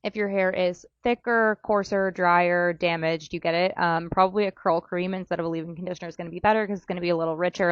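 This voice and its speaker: A woman's voice: fairly low pitch, dry recording, highs cut off. A woman giving casual, chatty advice as a hair care vlogger, running through recommendations with informal emphasis. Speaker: hair care content creator.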